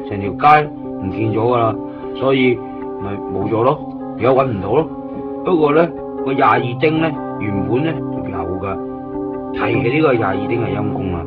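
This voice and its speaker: A blunt, loud young man , played by an actor searches a foreign street with a friend. his voice is mid-pitched and comes through a muffled, lo-fi radio play with strong music underneath. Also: moderate pace, natural voice. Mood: explaining. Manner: loud and blunt, calls out to passersby. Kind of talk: 1990s radio drama acting.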